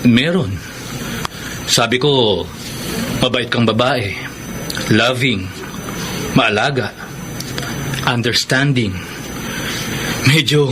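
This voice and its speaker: A man's voice: medium pitch, lightly acted. An actor playing a remorseful young man in a Filipino radio drama, with an earnest, confessional delivery and a Filipino accent. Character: remorseful young man who jilted his bride. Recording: noisy radio drama recording.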